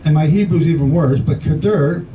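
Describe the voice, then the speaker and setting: A man's voice: fairly high pitch, brisk, warm tone, far from the mic, slight background noise, rough recording. A man teaching an informal Bible study to a small group, reading scripture aloud and asking his listeners questions. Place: room with a small group in the Philippines.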